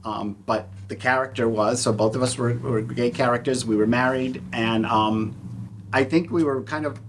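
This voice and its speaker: A man of middling pitch speaks unscripted on a panel, with some room noise around him. His delivery is halting, with words repeated as he recounts personal experience. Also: steady tone. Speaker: panelist, community theater actor. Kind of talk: personal anecdote in panel discussion. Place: public panel event, live audience. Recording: clear background room noise.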